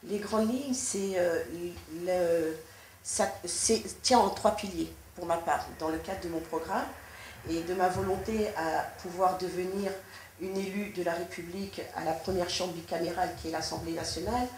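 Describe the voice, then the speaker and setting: A woman from France answering interview questions as a politician, in a measured, formal way with frequent restarts. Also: lowish voice, fairly even intonation, darkish tone. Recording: echoey room with faint noise.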